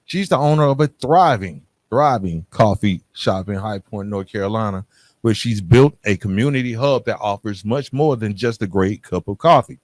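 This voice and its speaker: A man's voice: lowish voice, expressive, moderate pace, dry recording. A man hosting an interview podcast, speaking in an informal and encouraging manner. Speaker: podcast host.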